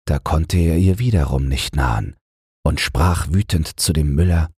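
Very deep, husky man reading bedtime tales. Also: unhurried, a little mellow, no room sound, studio quality. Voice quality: low, husky, breathy. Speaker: audiobook narrator. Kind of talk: slow bedtime fairy-tale narration.

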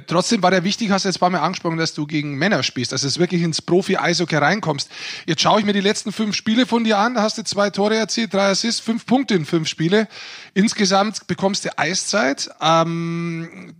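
Male sports co-host, high voice, quick pace, talkative and engaged. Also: a bit bright. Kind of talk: casual sports talk, interviewing.

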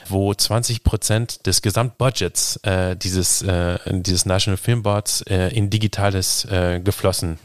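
Low-voiced man, halting podcast guest. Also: dry recording, clean studio sound. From Canada. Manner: hesitant, halting, pieces thoughts together step by step. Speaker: interview guest, professor. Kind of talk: casual interview talk.

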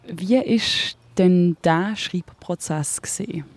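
A woman interviewer asking measured, articulate questions. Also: lowish voice, no room sound.

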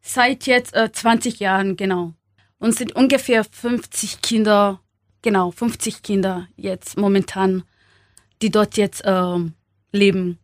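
A young woman from Kenya speaking German as a second language, halting with many fillers and small grammar slips, as an interview guest. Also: medium pitch, fairly even intonation, no room sound, clean studio sound. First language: not German. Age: young adult.

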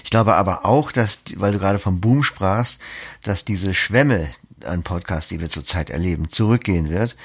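A man's voice: deep voice, moderate pace, breathy edge. A man giving articulate, reflective, explanatory answers as an interview guest.

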